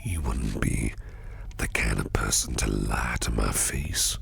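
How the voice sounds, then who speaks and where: A man playing a sinister, flirtatious businessman boss in an ASMR roleplay, speaking close to the mic in a gravelly, breathy near-whisper with a teasing, menacing purr. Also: rumbling low, slow pace, background noise, heavily acted. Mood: probing, sly.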